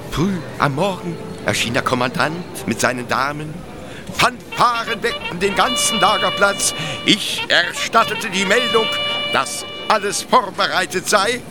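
An actor playing a fervent, nostalgic officer in a radio drama, theatrical, moving between hushed confiding and exalted declaiming. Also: male voice, on the high side, exaggerated voice. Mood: proud, exalted. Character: fervent, nostalgic male officer devoted to brutal old justice.

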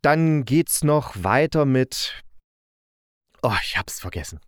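A man's voice: mid-range voice, animated, slow, clean tone, dry recording. A male podcast host talks off the cuff in a mid-range voice. His manner is enthusiastic and colloquial, and he often rephrases as he goes. The sound is close and dry, with very little room in it. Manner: colloquial, enthusiastic, often breaking off to correct himself. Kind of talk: casual spoken audio drama review, unscripted.

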